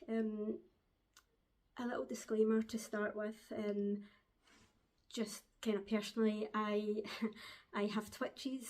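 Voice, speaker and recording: A Glaswegian woman hosting a crafting podcast from home, chatting casually to camera, hesitant with frequent ums and quick laughs. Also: mid-range voice, a little mellow. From Glasgow, Scotland. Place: at home, seated with a dog on her lap.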